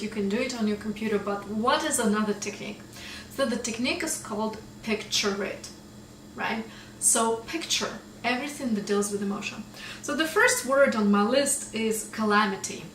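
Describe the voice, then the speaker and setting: A woman's voice: mid-range voice, a bit bright, echoey room, distant mic, slight background noise, poor audio. Russian-accented woman teaching English vocabulary. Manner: explains slowly, tags lines with "right?". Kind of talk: casual vocabulary lesson.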